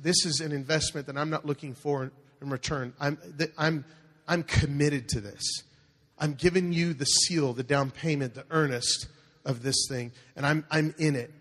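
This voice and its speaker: Animated preacher with hall reverb. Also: male voice, fairly high pitch, normal speed. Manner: animated, asks the congregation to repeat phrases. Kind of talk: sermon.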